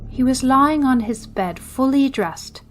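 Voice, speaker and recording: A woman narrating a ghost tale for radio drama, grave, suspenseful and deliberate. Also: sits high, animated, soft background music. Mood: measured, suspenseful. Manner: measured, deliberate.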